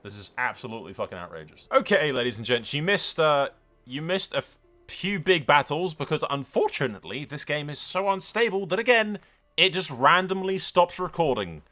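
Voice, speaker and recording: A man's voice: on the high side, animated, brisk, sharp tone. A man doing casual let's-play commentary, sweary and blunt, slipping between exclamations and in-character roleplay narration. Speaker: gaming video host.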